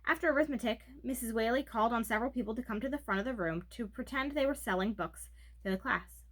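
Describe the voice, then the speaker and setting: A woman's voice: on the high side, fast talker. A woman reading a children's book aloud as narrator. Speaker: storybook reader.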